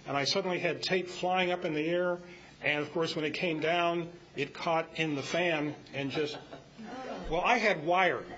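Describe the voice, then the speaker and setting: An older man giving an informal, rambling technical talk at a club meeting, halting and full of anecdotes. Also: on the high side, bright, forceful tone. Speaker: club speaker presenting on audio tape. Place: indoor club meeting, live audio recording. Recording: muffled, slightly noisy meeting-room recording.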